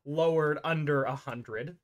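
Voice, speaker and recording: A game master narrating an improvised tabletop roleplay over an online voice call, often pausing mid-sentence. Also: medium pitch, lo-fi sound.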